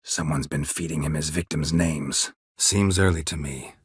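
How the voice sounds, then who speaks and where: A man voicing a gruff, stoic, dry-witted monster hunter in a video game, in a husky rasp with a terse, deadpan manner. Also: a bass voice, moderate pace, no echo, heavily acted. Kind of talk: video game character dialogue.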